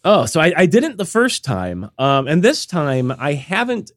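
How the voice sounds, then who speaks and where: Stuffed-up guy cohosting a chat show, halting and rambly, lots of ums, dead dry sound. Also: on the high side, big pitch swings, no echo. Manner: rambling, halting with many fillers and restarts. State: congested, recovering from illness. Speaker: podcast co-host. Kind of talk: casual chat.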